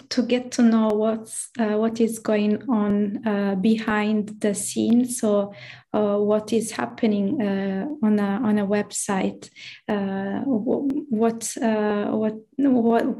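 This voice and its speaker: A woman with an Italian accent presents at a tech meetup in a slow, unhurried delivery. She pauses often and restarts her sentences. Her voice has a soft, mellow tone. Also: mid-range voice. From Italy. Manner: hesitant, many fillers and restarts. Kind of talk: presentation talk.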